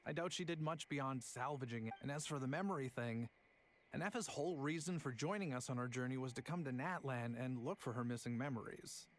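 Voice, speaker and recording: A man's voice: medium pitch, fairly quick, natural voice. A man voicing an easygoing young traveller in a video game, explaining in a wry, conversational way. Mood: hesitant, frank.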